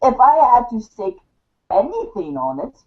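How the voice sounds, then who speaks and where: A woman voicing a verbose, coolly sardonic teenage girl in a stilted, halting reading of a webcomic script. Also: a little high, sing-song. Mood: pompous, musing. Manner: stilted, wordy, halting over long words. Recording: dull lo-fi home recording.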